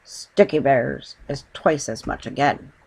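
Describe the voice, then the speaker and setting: Woman putting on a gruff Cockney taxi driver. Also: extremely low pitch, slow, a little mellow, voice pushed down, heavily acted, poor audio. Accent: London Cockney. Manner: gruff, bitter, dropped aitches. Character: gruff, grumbling working-class male London taxi driver.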